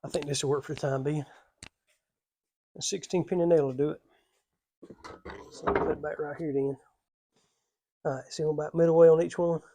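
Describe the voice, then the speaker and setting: A man who mutters quietly to himself while he works in a workshop, away from the camera. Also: fairly high pitch, steady tone, a little fast, pauses often, background noise.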